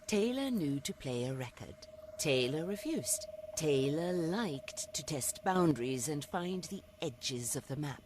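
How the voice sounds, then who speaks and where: A woman narrating a video game story in a wry, deliberate way, over noisy game ambience. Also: deep voice. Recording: clear background noise from game ambience.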